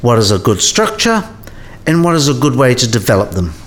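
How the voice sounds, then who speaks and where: A man's voice: medium pitch, big pitch swings, a little fast, close to an everyday voice. A man playing a learned professor in a radio play, explaining patiently and precisely and asking probing questions. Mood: didactic, patient.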